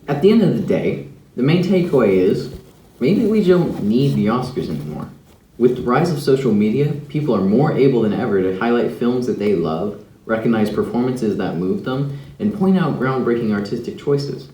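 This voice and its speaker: A man's voice: mid-range voice, very animated, normal speed, warm tone, reverberant, a little hiss or hum, poor audio. Wry teenage boy anchoring student news. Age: teens. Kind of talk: scripted news commentary.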